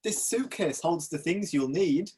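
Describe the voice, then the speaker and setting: A man playing an obliging pantomime genie, declaiming in rhyme with a husky voice. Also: fairly high pitch, animated, measured pace, mellow, full character voice. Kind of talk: rhyming amateur pantomime acting.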